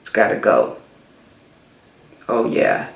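A woman's voice: takes it slowly, echoey room. A middle-aged woman chatting casually while doing her makeup for a beauty vlog, repeating herself as she goes. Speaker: beauty vlogger. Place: small room indoors.

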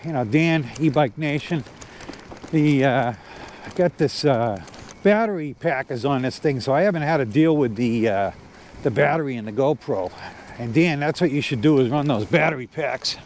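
A man's voice: medium pitch, moderate pace, darkish tone. A man in his fifties talking casually while riding an e-bike on an outdoor trail, rambling with lots of fillers and restarts into a clip-on mic. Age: 50s. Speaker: e-bike vlogger. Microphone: corded clip-on mic. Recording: faint outdoor noise from riding.

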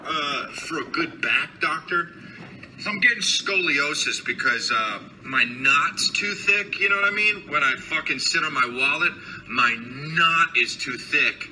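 A man's voice: a little high, lively intonation, measured pace, pushed and bright, a little hiss or hum, poor audio. A man in his thirties teasing a friend in a phone video message, cocky and drawn out. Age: 30s. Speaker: comedian sending video message. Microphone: phone video played on another phone.

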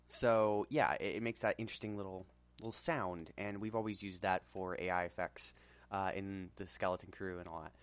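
A man with a fairly low voice presents a casual screen tutorial. The recording is dry and close, and his delivery is informal and somewhat hesitant. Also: fairly even intonation, normal speed, dry recording.

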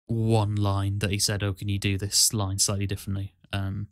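Low-voiced man chatting in an even tone. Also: normal speed, no echo, studio quality. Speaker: podcast host and amateur voice actor. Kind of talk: casual podcast chat.

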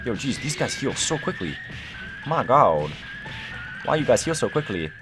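A young man giving excited, casual gameplay commentary, rambling and thinking aloud in slangy exclamations. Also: mid-range voice, moderate pace, over music, poor audio. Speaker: gaming video host.

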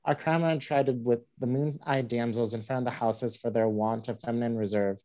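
A man's voice: medium pitch, fairly even intonation, normal speed, mellow, lo-fi sound, lightly acted. A young man reading the part of a wry frontier newspaperman, giving a florid monologue in a staged play reading. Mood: wry, musing. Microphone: video call.

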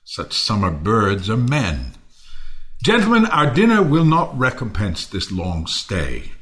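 Male actor as embittered noble host, declamatory Shakespearean style; bright, forceful, deliberately slow. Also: on the low side, wildly swooping pitch, heavily acted. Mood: mock-gracious. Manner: declamatory, theatrical. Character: bitter Athenian lord, mock-gracious host turned raging misanthrope. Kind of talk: Shakespearean audio play reading.

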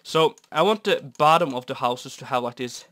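A young man from Norway, raspy and stuffy from a cold, rambling easily with many fillers while commenting on a building game. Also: medium pitch, moderate pace. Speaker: Minecraft builder. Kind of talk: casual gameplay commentary. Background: soft guitar music.

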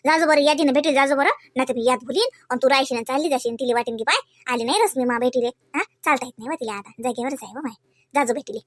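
Woman acting high, quick Varhadi village woman. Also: no room sound, clean studio sound. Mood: chatty. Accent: Varhadi Marathi, rural Vidarbha. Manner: gossipy, colloquial, drawn-out kinship exclamations. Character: chatty, homely village women in a family cartoon. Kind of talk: homely cartoon dialogue in village dialect.

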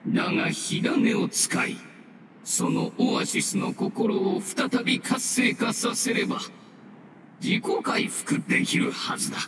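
Slow, aged, dying mushroom spirit. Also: male voice, extremely high pitch, fairly even intonation, background noise. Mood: grave, explaining. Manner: grave, halting, archaic elder speech. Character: aged dying mushroom spirit, solemn, humble, self-sacrificing elder. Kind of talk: scripted game dialogue. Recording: roomy echo, distant, under loud music.

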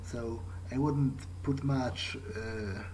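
A man's voice: medium pitch, steady tone, very slow, a little mellow, slight background noise. A man doing in-character game commentary, thinking aloud in a musing, hesitant way. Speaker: gamer playing in character.

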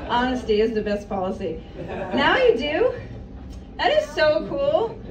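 A woman's voice: high voice, huge pitch swings, measured pace. A woman in her thirties doing a stand-up comedy set, chatty and self-deprecating with rambling asides, on a small café stage. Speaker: stand-up comic. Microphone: mic on stand, filmed from across the room. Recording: roomy, distant, noisy café recording.

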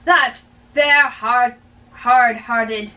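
A young woman doing a halting dramatic play reading in a small bedroom, stumbling over and repeating lines. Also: fairly high pitch, steady tone, highs cut off. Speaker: amateur play reader. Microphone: camera a few feet away. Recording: distant mic in a bedroom.

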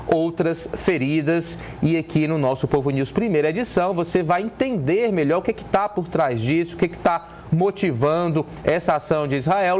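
A man's voice: on the high side, darkish tone, slight background noise. A man in his thirties with a Brazilian accent presenting live news from a studio, explaining the story as he goes. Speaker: news anchor. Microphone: lapel mic.

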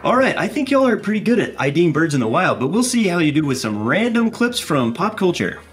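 A man's voice: high-pitched, big pitch swings, brisk, faint music behind. A man in his thirties hosting a scripted game show in a playful, punning manner.

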